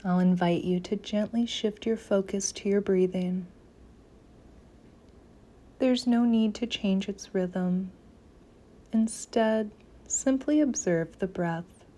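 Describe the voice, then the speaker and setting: A woman leading a guided meditation in a soft, gentle, soothing voice, with phrases often trailing into near-whispers. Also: mid-range voice, takes it slowly, long pauses.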